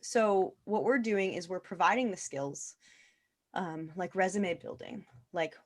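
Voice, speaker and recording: A woman's voice: on the low side, a little slow. A woman speaking casually as an expert guest in a webinar, emphatic and repeating phrases to stress her points. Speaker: guest physician and nonprofit founder. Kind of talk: casual webinar talk about esports advocacy.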